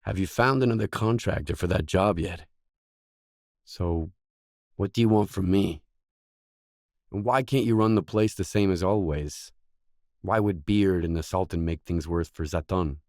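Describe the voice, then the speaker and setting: An actor playing a gruff, guarded lone mercenary in a video game, speaking in a terse, stern, matter-of-fact way. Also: male voice, low-pitched, unhurried, pauses often, darkish tone, dry recording, clean studio sound. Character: gruff, guarded lone mercenary man, blunt and cool-headed. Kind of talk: scripted game dialogue lines.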